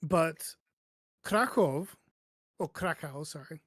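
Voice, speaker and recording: A man explaining things casually on a panel podcast, halting often and correcting himself mid-sentence. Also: on the high side, fairly bright tone, breathy edge.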